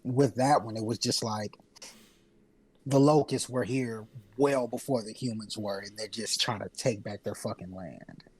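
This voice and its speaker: A man's voice: lowish voice, steady tone, measured pace, slightly rough, low-quality recording. A man co-hosting a podcast, talking about games in an informal, rambling way with the odd swear word. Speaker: podcast co-host. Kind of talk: casual chat about video games.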